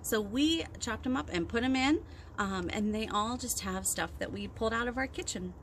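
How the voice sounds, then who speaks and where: A woman's voice: on the high side, normal speed. A woman in her thirties hosting a children's storytime outdoors, talking casually and encouragingly to young kids as she explains activities. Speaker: children's librarian and storytime host. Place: outdoors on a backyard deck. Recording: outdoor recording with wind and ambient noise.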